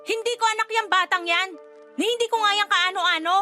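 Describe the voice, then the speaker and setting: Very high, sharp-voiced Filipino wife. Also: female voice, brisk, faint music behind. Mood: irritated, angry. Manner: exasperated, defensive, sharp-tongued. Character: resentful, hot-tempered adoptive mother and wife. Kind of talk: Filipino radio drama acting.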